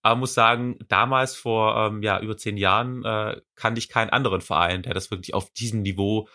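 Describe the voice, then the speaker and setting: A young man from Swabia with a light Swabian accent, a para athlete chatting casually in a podcast interview, thoughtful and relaxed with frequent fillers. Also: fairly low pitch, brisk. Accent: light Swabian German. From Swabia, Germany.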